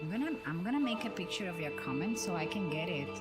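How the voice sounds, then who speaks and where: A woman's voice: fairly low pitch, big pitch swings, fast. A woman streamer with a Dominican accent chatting casually with viewers, rambling with frequent restarts and repeated words. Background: music.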